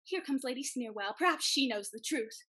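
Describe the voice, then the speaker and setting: A young actress playing an excitable, gushing society gossip in a period stage comedy, emphatic and insistent. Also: female voice, high voice, a little fast. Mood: excited, insistent. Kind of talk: theatrical period comedy acting. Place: room at home on a video call.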